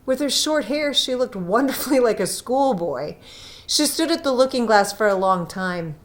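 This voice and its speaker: A woman reading a story aloud as scripted narration, with dramatic emphasis and drawn-out phrases. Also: fairly high pitch, expressive. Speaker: audiobook reader.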